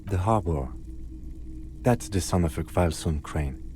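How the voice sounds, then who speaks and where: An actor playing a patient, precise, reproving partner in a video game, with a dry and controlled manner. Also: male voice, deep voice, expressive, a little slow, a little mellow, a little hiss or hum. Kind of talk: video game character acting. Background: music.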